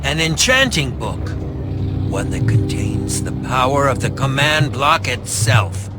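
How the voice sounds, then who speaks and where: A man playing an arrogant, defensive middle-aged alchemist in an animated video game, theatrical and evasive. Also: mid-range voice, measured pace. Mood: dramatic. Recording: loud music behind the voice.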